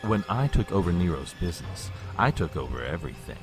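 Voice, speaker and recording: A man's voice: sits low, big pitch swings, fairly quick, over music. An actor playing a suave, menacing vampire lord in an audio drama, mock-polite and theatrical, drawing out his taunts. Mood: gloating.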